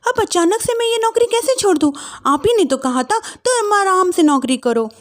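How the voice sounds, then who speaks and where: A woman's voice: extremely high pitch, animated, normal speed, pitched above the performer's own voice. A woman voicing a young working daughter-in-law who is indignant and defiant, arguing in a plaintive way. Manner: plaintive, argumentative.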